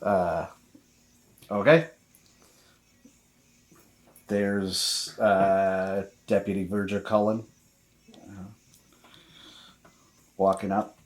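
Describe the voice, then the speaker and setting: A man narrating a tabletop game as game master, improvising the scene in a halting way. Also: deep voice, fairly even intonation, faint music behind, rough recording.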